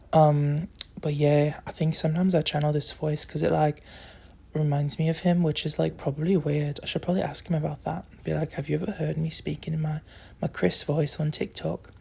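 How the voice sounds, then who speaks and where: A young adult content creator talks in a put-on British accent. The voice is husky and creaky, sitting at a level, unhurried register and often trailing into breath. The delivery is soft, candid and meandering, like a casual confessional recorded on a phone. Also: medium pitch. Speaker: social media content creator. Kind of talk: casual rambling confessional chat to followers.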